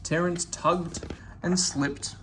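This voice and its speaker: A man narrating a children's storybook aloud in an expressive, scripted storytelling style. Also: a little high, very slow, a little hiss or hum. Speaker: storybook reader.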